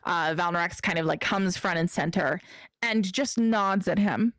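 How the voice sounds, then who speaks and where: A woman narrating a tabletop role-playing game as game master, deliberate, with frequent fillers like "uh" and "like". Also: fairly low pitch, fairly bright tone, no echo, studio quality.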